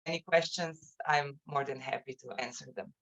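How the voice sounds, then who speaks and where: Woman, slightly low pitch, halting delivery, repeated words, webinar speaker, muffled online-call audio. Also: fairly even intonation, a bit bright. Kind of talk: webinar presentation and answers.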